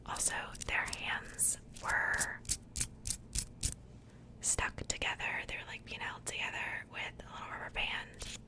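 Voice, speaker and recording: A woman speaking in a breathy, unvoiced whisper, chatting softly and informally in the style of an ASMR unboxing. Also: slow. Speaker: ASMR video creator.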